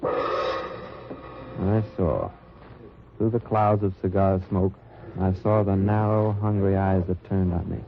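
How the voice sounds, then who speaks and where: A man's voice: low-pitched, steady tone, takes it slowly, pauses often, crowd noise. A man acting as an American wartime undercover agent, telling his mission in an understated hard-boiled way in a 1950s radio drama. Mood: tense, wry. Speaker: actor and first-person narrator. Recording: muffled old radio recording, music behind.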